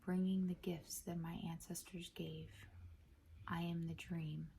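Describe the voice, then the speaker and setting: A woman speaking softly in a breathy half-whisper, gentle and measured, as an ASMR host reading to listeners. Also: fairly low pitch, flat delivery, slight background noise. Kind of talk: soft-spoken ASMR poetry reading.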